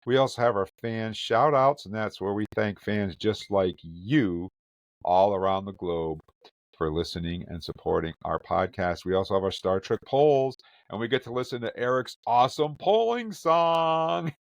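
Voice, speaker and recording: A man's voice: lowish voice, normal speed, dry recording. A man hosting a casual podcast, introducing his co-hosts with an upbeat, jokey manner. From Vermont. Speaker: podcast host.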